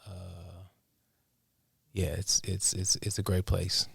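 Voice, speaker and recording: A man from the United States with an American accent, talking casually and haltingly as an interview guest. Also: sits low, monotone, creaky, a bit bright, breathy edge, no echo, studio quality. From Portland, Oregon, United States. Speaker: interview guest, music producer and inventor. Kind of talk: casual conversational storytelling.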